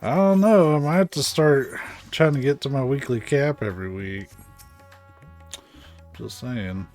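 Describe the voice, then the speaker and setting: A man's voice: mid-range voice, unhurried. A man giving casual, chatty, wry commentary as he streams a game. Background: soft game music.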